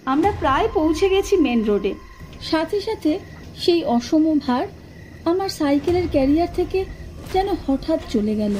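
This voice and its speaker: High-pitched woman narrating suspenseful horror. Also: slight background noise, low-quality recording. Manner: expressive, suspenseful delivery. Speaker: audio horror story narrator. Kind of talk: dramatic first-person scripted story narration. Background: atmospheric sound effects.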